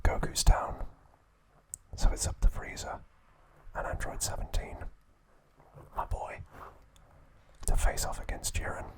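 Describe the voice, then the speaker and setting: A man hosting an ASMR video, recapping a story in a soft, unvoiced whisper. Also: a little slow, long pauses, poor audio. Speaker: ASMR host.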